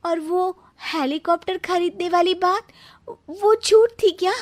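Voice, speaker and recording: Woman acting high-voiced, exasperated young bride. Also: slow pace. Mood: puzzled, indignant. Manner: questioning, plaintive. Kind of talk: melodramatic storybook acting.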